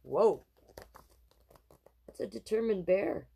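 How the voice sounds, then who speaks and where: Slow-paced, lively woman storyteller. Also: mid-range voice, creaky, slight background noise. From Canada. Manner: expressive, deliberate, playful sound words. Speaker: storytime host and drag king performer. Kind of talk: animated children's picture book read-aloud with casual asides.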